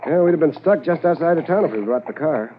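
An actor playing a shrewd, confident police corporal with a firm, matter-of-fact manner in a 1950s radio drama. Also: male voice, fairly high pitch, expressive, fast talker, lightly acted. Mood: brisk, matter-of-fact. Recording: muffled, hissy 1950s radio transcription.

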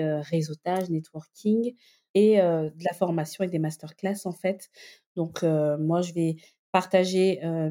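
A woman being interviewed in a casual conversation, founder of a community for entrepreneur mothers, explaining her views and often pausing to find her words. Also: on the low side, dark tone. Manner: explanatory, thinks aloud with many hesitations.

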